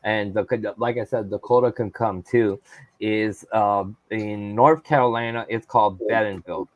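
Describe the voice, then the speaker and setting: A man chatting casually in a group, in a halting way, repeating words as he works out his point. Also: on the low side, steady tone, dry recording, dull audio.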